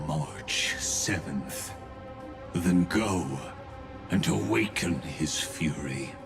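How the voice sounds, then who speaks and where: A man voicing a grave, cryptic schemer in a video game, speaking solemn prophecy with deliberate, ominous pauses. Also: low-pitched. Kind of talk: dramatic video game voice acting. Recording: music behind the voice. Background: game music.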